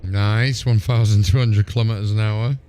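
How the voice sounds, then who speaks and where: A male streamer gives casual live reactions in a lowish voice. There is faint ambient rumble behind him. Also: fairly even intonation, normal speed, dark tone. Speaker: gaming streamer.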